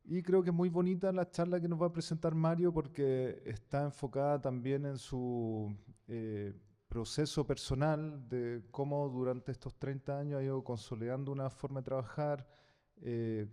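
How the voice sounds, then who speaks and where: A man hosting a talk who gives a formal, measured introduction with frequent fillers. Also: medium pitch, fairly even intonation, mellow, clear, resonant tone, in a large hall. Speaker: host introducing a speaker. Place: lecture hall.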